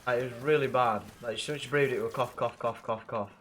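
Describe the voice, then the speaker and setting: A young man with a blocked nose and rough throat, chatting casually and swearing with viewers while playing a game. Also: medium pitch, fairly even intonation, fast, a bit bright, a little hiss or hum. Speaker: livestreamer playing a zombie game.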